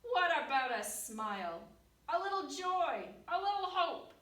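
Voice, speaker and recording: A woman's voice: very animated, measured pace, sharp tone, full character voice. A woman doing a cheeky squirmy squid. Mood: cajoling. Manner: high sing-song cajoling. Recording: echoey room, distant mic.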